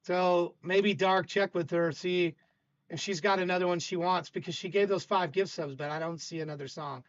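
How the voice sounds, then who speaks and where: An older man with a loud voice hosting a livestream, chatting casually and stammering as he talks to chat. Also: sits high, steady tone, moderate pace, lo-fi sound. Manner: rambling, stammering, warm toward chat. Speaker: stream host.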